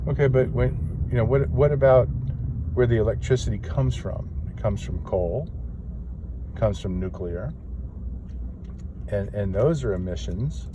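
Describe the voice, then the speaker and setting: A man's voice: mid-range voice, a little slow, long pauses. A man interviewing a passenger in a car, chatty and probing with leading questions. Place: inside a car in city traffic. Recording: dull lo-fi sound with clear car noise.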